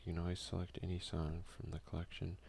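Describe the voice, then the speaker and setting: A man doing a soft-spoken, unhurried ASMR game playthrough, reading text aloud with casual asides. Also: low-pitched, completely flat delivery, gravelly, a little hiss or hum. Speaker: let's play streamer.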